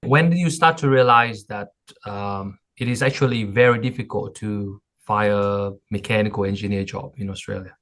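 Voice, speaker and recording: Low-pitched man hosting a podcast. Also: moderate pace, a bit bright. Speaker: host, job coach and company founder. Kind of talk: podcast interview.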